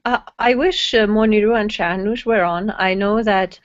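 A woman in her forties from Iran joins a video call from a room at home. She speaks in a measured way, pausing with fillers. Her voice is muffled and dry. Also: medium pitch, dry recording. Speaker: panel guest writer. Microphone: laptop webcam video call.

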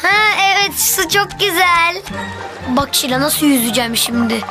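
An actor voicing a cheerful, playful little boy in a preschool cartoon, talking in simple, excitable childlike phrases. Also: high-pitched, normal speed, loud music behind the voice. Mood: excited.

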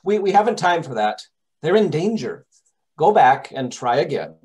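A man playing a brisk, impatient detective who directs a rehearsal in an old radio drama style. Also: on the high side, big pitch swings, darkish tone. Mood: exasperated. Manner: commanding, exasperated. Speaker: actor. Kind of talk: 1930s radio acting.

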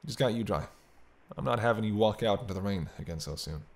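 A man's voice: fairly low pitch, animated, fast. A man playing a teasing, century-old vampire in an intimate roleplay audio drama, bantering wryly with hesitant asides. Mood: dryly teasing. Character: teasing century-old male vampire, sardonic but soft-hearted.

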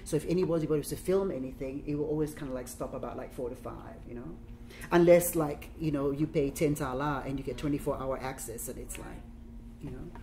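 Low-voiced woman with a Samoan accent. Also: a little fast, mellow, slight background noise. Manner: chatty, many fillers. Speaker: guest artist. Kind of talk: talk and Q&A. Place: gallery room, indoors.

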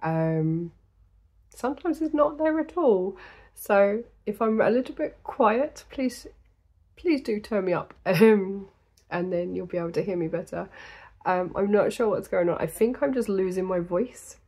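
A woman in her thirties from the UK, hosting a crafting podcast in a small indoor room. She chats to the camera in a rambling way, full of ums and little laughs, and her voice is going, slightly gravelly. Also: mid-range voice, animated, a little slow, warm tone. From United Kingdom. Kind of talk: casual chat to camera.

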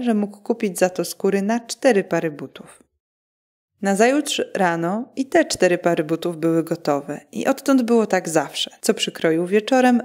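A woman narrates a scripted bedtime fairy tale. Her voice sits at a middle pitch for a woman, and she reads the story for listeners about to sleep. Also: darkish tone.

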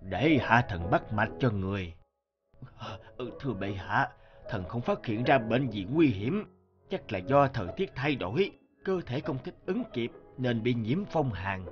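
Measured, respectful royal physician. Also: male voice, mid-range voice, big pitch swings, lo-fi sound, faint music behind. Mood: calm, respectful. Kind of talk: animated folk-tale dubbing.

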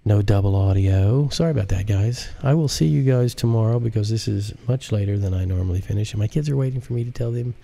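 A man's voice: fairly low pitch, fast, gravelly, hardly any pauses, dark tone. A man reading his own novel aloud as an audiobook narrator on a live stream.

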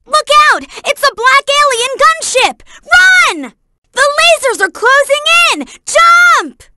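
A woman voicing an earnest, excitable young boy fox sidekick in a video game, with a childlike voice. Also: extremely high pitch, exaggerated voice. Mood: alarmed, urgent. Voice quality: childlike, boyish. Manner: earnest, eager. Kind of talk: video game voice acting, hints and warnings.

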